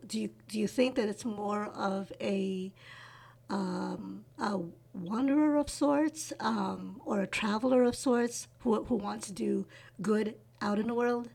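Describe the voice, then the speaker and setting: Woman, interview host, mellow, slightly raised pitch, hesitant questioning. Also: vocal fry. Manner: soft, hesitant questions with many fillers. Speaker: podcast host. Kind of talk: reading a guest introduction, then casual interview.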